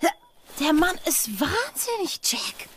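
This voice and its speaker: An actress in a German radio drama playing a bold, freedom-hungry pirate's lover, speaking with earnest, intimate passion. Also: female voice, very high voice, very animated, slow pace, fairly bright tone, a little hiss or hum, heavily acted. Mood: alarmed. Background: music.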